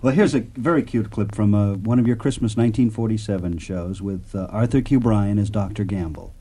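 A man hosting a relaxed interview. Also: fairly low pitch, brisk, husky. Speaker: host, interviewer.

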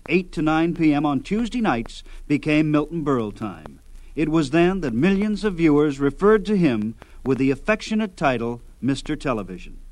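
Higher-pitched radio host. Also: male voice, big pitch swings, normal speed, darkish tone, dry recording, rough recording. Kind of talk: scripted narration introducing old radio clips.

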